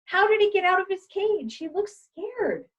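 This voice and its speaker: A woman's voice: extremely high pitch, animated, normal speed, a bit bright, muffled recording, voice pushed up. A woman voicing a worried young boy in a storybook, earnest and pleading. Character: young boy, worried about his pet rabbit.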